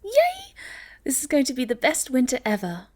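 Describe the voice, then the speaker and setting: A woman playing a sweet, shy young moth girl in an ASMR roleplay, speaking gently and hesitantly, often dropping to a breathy near-whisper. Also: sits high, wildly swooping pitch, moderate pace, full character voice. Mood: delighted. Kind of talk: ASMR roleplay, soft intimate storytelling to the listener.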